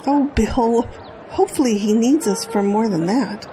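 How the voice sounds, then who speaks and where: A woman's voice: fairly high pitch, moderate pace, faint music behind, lightly acted. An actress playing a loving middle-aged mother in an audio drama, speaking wistfully. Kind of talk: scripted audio drama dialogue. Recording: noisy outdoor crowd behind voice.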